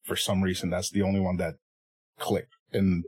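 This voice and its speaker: A man's voice: low voice, moderate pace, slightly rough. Man, casual and laughing. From Puerto Rico. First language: Spanish. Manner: laughs often, swears freely, animated. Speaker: podcast guest. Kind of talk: casual chat.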